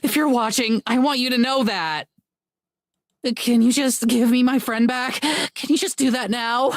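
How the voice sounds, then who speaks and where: A woman's voice: a little high, unhurried, no echo, clean studio sound, lightly acted. A woman playing a young, salty-tongued paranormal show host in a visual novel, hiding heartbreak under casual patter. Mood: tearful pleading. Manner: casual, salty, performed cheer. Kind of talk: visual novel character acting. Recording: music behind the voice.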